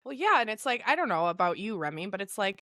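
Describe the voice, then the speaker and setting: A woman hosting a podcast, talking in a loud, excitable, swear-heavy way and hyping up her guest. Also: medium pitch, fast, no echo, clean studio sound. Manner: profane, excitable, emphatic. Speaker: podcast host. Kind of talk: casual podcast chat.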